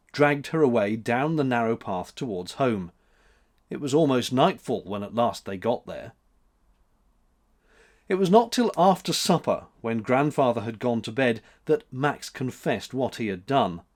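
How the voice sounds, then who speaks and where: A male storyteller gives an expressive scripted narration. His mid-pitched voice moves with very lively intonation.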